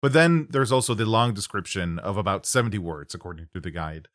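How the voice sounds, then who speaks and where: A man with a Norwegian accent, a native Norwegian speaker, hosting a casual interview, with halting restarts. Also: fairly low pitch, expressive, no echo, studio quality. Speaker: podcast host.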